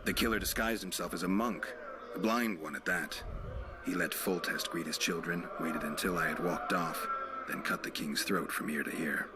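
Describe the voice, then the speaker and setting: Man playing a very deep, stoic monster hunter. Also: moderate pace, over music, natural voice. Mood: matter-of-fact. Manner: terse, deadpan. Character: stoic, dry, world-weary male monster hunter. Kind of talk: video game cutscene acting.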